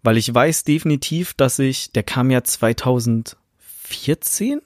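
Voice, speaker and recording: Relaxed young man mulling over films. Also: mid-range voice, measured pace, no room sound, studio quality. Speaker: podcast co-host. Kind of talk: casual film chat.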